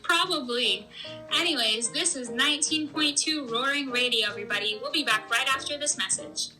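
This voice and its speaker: A woman's voice: high voice, moderate pace, pushed and bright, faint music behind, low-quality recording. A woman playing a chatty, upbeat radio host in a scripted 1920s-style show, with chirpy period banter.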